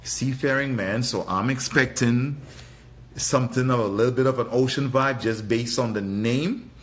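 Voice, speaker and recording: A man's voice: medium pitch, normal speed, fairly bright tone. A man from Trinidad giving a casual live product review, thinking aloud in a hesitant, musing way and often repeating his phrases. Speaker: fragrance reviewer and livestream host.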